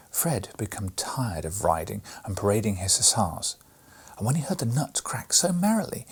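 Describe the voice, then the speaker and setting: Guy reading a kids story aloud, gravelly husky voice, swoops around a lot. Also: fairly low pitch. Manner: expressive, storytelling. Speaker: reader, channel host. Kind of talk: audiobook narration of a children's story.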